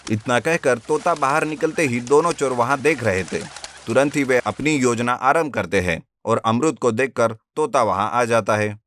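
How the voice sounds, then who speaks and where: A man's voice: mid-range voice, moderate pace, noisy background. A man narrating a cartoon story in a plain storytelling manner.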